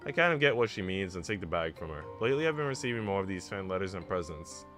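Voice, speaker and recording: A man with a fairly low voice, streaming a story game. He reads and talks in a casual way. Some music plays behind him. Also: animated, fast. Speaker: streamer reading a visual novel aloud. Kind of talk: casual read-aloud and game chat.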